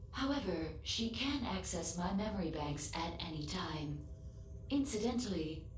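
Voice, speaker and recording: A woman voicing a polite, literal-minded android ship assistant in a video game, formal and measured, with precise diction. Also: lowish voice, roomy sound, slight background noise, natural voice. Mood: clinical, deadpan. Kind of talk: scripted video game voice acting.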